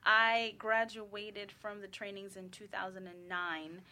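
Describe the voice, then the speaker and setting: Female radio guest in her twenties, from Florida, bright tone, giggly. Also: mid-range voice, level tone, slow. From South Florida. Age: 20s. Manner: giggly, self-deprecating.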